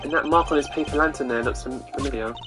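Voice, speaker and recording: A woman's voice: very deep voice, fast, music in the background. A woman voicing an earnest, exasperated young male lawyer from a video game, with a dry, slightly flustered delivery. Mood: grave, pondering. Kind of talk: video game character read-aloud.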